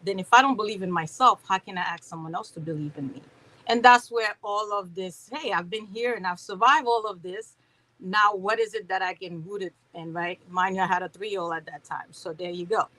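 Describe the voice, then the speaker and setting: A woman's voice: mid-range voice, brisk, bright, forceful tone, low-quality recording. A woman from Haiti speaking at a conference, chatty and sprinkled with "right?" and fillers. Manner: conversational, many fillers and "right?" tags. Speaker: conference speaker, company founder. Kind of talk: motivational conference talk.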